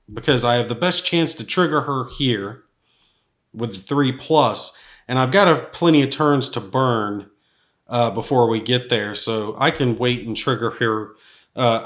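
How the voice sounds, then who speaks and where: Man with a cold-raspy voice. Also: mid-range voice, fairly bright tone. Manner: thinks aloud while rolling dice, chuckles at bad rolls. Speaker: board game player. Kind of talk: solo board game playthrough commentary.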